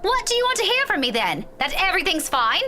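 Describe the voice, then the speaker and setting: A woman's voice: very high-pitched, very animated, fast, pushed and bright, faint music behind, full character voice. An actress playing an earnest, worried young woman who pleads with a friend in a visual novel game. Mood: pleading, exasperated. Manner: earnest, imploring.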